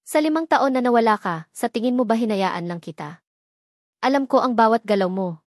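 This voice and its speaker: A woman voicing a cold, controlling young husband who speaks calmly and with quiet menace in a storybook. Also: fairly high pitch, no room sound, studio quality, close to an everyday voice. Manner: calm, measured, quietly menacing.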